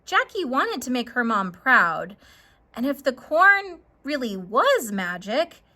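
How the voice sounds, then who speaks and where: A woman narrates a children's story in a high, bright voice. She takes her time, with very lively, varied intonation. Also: slow pace.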